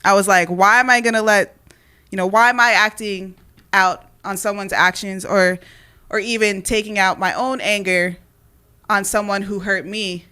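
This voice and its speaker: A woman's voice: medium pitch, fairly even intonation, moderate pace, bright, forceful tone, clean tone. A woman in her late twenties, a guest poet, chatting casually and reflectively, often asking "you know what I mean". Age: late 20s. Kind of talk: casual podcast chat.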